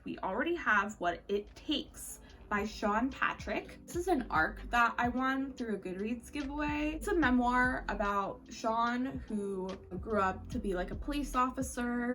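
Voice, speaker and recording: A woman's voice: on the high side, a bit bright. A woman chatting casually about what she has read as a book vlogger, informal and candid with slang and some swearing. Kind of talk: casual chatty monthly reading recap to camera. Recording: music playing behind the voice. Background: background music.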